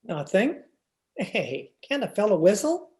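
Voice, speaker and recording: Man playing an animated, flustered radio husband. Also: sits high, measured pace. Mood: suspicious. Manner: hesitant, exasperated. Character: earnest, flustered, worried husband. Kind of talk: old-time radio comedy acting.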